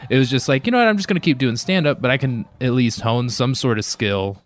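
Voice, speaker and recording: A man's voice: mid-range voice, lively intonation, slight background noise. Rambling young comedian in his twenties. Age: 20s. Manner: rambling, quick, heavy on fillers like "like" and "you know". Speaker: stand-up comedian. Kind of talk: casual chat over a meal. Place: burger bar.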